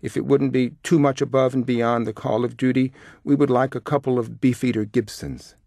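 A man's voice: lowish voice, animated, normal speed, darkish tone, dry recording, studio quality. A man voicing a boisterous, middle-aged father in a short story, pompous and sarcastic, barking orders. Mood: sarcastic, irritated. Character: loud, boisterous, imperious middle-aged father demanding service.

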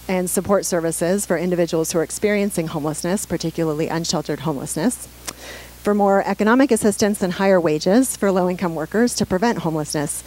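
A woman's voice: fairly low pitch, darkish tone, a little hiss or hum, rough recording. A woman in her forties, a council member running for mayor, giving an earnest prepared speech from notes into a handheld mic in a library meeting room. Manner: projecting, earnest, reads from notes. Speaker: city councilwoman and mayoral candidate. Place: library meeting room, standing before seated audience.

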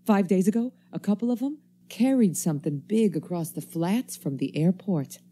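A woman's voice: medium pitch, expressive, moderate pace, dark tone, close to an everyday voice. A woman acting a wary, embittered survivor in a radio play, with folksy, slangy, cynical speech. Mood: grim, resigned. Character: wary, embittered survivor stranger armed with a knife.

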